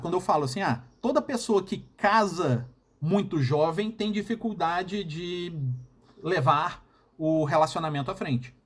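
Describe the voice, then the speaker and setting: A man with a Brazilian Portuguese accent and a husky voice, giving a recorded university lecture and often checking in with the class. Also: high-pitched. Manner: explanatory, frequent checking "tá?" and "né?".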